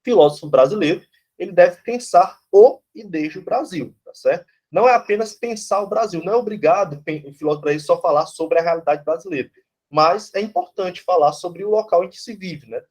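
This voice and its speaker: Brazilian man, higher-pitched lecturer. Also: brisk, darkish tone. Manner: conversational, tags lines with "né?" and "tá certo?"; blunt, casually swears. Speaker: philosophy professor. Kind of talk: informal lecture.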